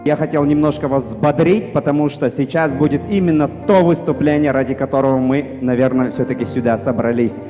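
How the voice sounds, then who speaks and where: A man's voice: medium pitch, fairly even intonation, a little fast, few pauses, long reverb tail, highs cut off, over music. A male emcee introducing the next speaker on stage in a rousing way. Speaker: event emcee.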